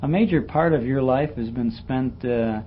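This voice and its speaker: A man's voice: medium pitch, normal speed. A man conducting a casual radio interview, speaking hesitantly and admiringly to his guest. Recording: dull lo-fi interview tape with noise.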